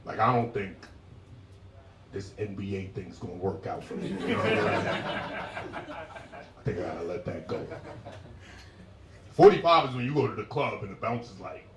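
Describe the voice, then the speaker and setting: A man in his forties doing a stand-up set, telling stories in a laid-back way and breaking into loud shouted impressions. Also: fairly low pitch, big pitch swings, moderate pace, pauses often, background noise. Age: 40s. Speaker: stand-up comedian. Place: comedy club stage. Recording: roomy club sound from a distance.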